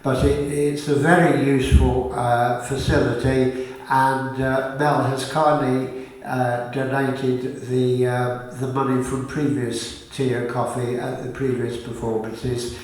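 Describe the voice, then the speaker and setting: An echoey, distant recording of an older man addressing an audience. He speaks slowly and politely, with frequent hesitations, like a chairman giving closing thanks. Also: medium pitch, little pitch movement. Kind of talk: informal closing announcement to an audience. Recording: echoey room, distant microphone.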